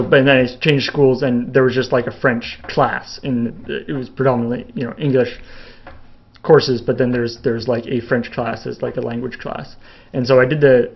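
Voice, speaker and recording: A man's voice: medium pitch, vocal fry, highs cut off. A young man from Canada, chatting casually as a video host and answering viewer questions in a rambling way, with lots of fillers and restarts. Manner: rambling, many fillers and restarts, laughs a little. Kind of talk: casual live Q&A chat.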